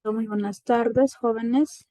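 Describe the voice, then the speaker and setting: A woman's voice: a little high, fairly even intonation, slow, mellow, no echo, poor audio. A woman from Peru teaching an online class, talking in a loose, casual way and tagging "¿no?" onto her sentences. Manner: casual, repeats "¿no?" often. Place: home, remote video class. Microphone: computer mic on video call.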